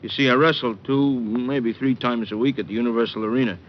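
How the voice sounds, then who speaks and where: An American actor playing a huge, earnest, simple-hearted wrestler in an old-time radio drama. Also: male voice, medium pitch, steady tone, natural voice. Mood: humble, sad. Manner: plain, slow-witted sincerity. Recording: muffled, noisy vintage radio recording.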